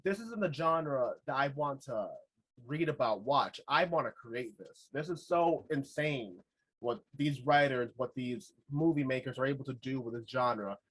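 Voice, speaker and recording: A man's voice: mid-range voice, a little slow, fairly bright tone, husky, poor audio. A man hosting a casual podcast interview, rambling through his points with lots of filler words. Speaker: podcast host.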